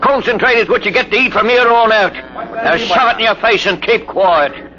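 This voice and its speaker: A man's voice: high voice, moderate pace, pushed and bright. An actor playing a sneering, sadistic crewman who taunts prisoners aboard a spaceship, in 1950s radio drama style. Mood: bullying. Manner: mocking, taunting. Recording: noisy, dull vintage radio recording.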